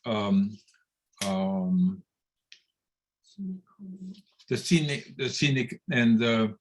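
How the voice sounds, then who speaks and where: A man's voice: on the low side, extremely slow, rough recording. A man from Belgium, a caller giving public comment at a city meeting, hesitant and full of fillers and restarts. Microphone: phone call into online meeting.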